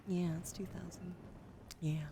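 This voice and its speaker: A woman livestreaming ASMR in a soft, breathy whisper and hushed casual chat, with a slightly weak, wheezy throat, speaking gently to her viewers up close to the mic. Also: fairly low pitch, little pitch movement, measured pace, noisy background. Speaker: streamer hosting a live ASMR broadcast.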